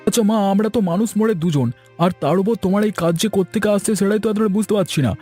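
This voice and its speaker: A man's voice: high-pitched, racing, a little mellow, husky, faint music behind. A man voicing a grown village son in a cartoon, chatty, teasing and full of questions. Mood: teasing reproach. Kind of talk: Bengali cartoon story dialogue.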